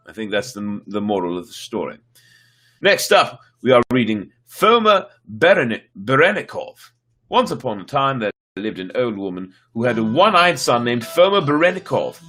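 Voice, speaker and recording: A man's voice: mid-range voice, pushed and bright. Man reading folk tales over music. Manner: stumbles over names and corrects himself. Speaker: live stream storyteller. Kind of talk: dramatic reading of folk tales with casual asides. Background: background music.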